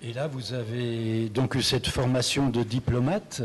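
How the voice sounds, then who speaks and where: A man interviewing a guest on a radio station, asking steady questions with brief context. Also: mid-range voice, unhurried.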